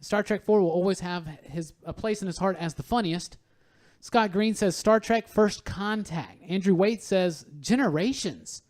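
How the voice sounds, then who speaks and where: A man's voice: sits high, normal speed, darkish tone. An American man hosting a casual pop culture podcast, chatting with his panel and addressing listeners. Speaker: podcast host.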